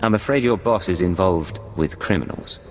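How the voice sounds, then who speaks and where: An actor playing a confident, suave imperial secret agent in a video game, smooth and coolly menacing. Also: male voice, low-pitched, normal speed, lightly acted. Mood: persuasive. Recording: game music behind the voice.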